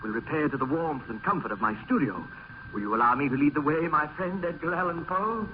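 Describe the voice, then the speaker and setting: A man's voice: expressive, brisk, lightly acted. A man playing a nervous, courtly aristocrat in a gothic radio drama, theatrical and effusive in his speech. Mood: effusive welcome. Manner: theatrical, effusive, high-strung. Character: nervous, courtly, ailing aristocrat in gloomy mansion. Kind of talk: old-time gothic radio drama acting. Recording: muffled, faintly noisy old radio.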